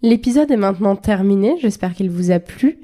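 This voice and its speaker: A woman's voice: mid-range voice, animated, dark tone. Woman host reading scripted questions. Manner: measured, scripted delivery. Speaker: podcast host. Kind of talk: reading interview questions and outro.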